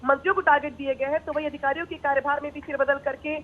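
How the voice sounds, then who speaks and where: High-voiced woman reporter on phone line. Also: flat delivery, racing. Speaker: news reporter. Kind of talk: live television news report. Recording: tinny narrow phone line.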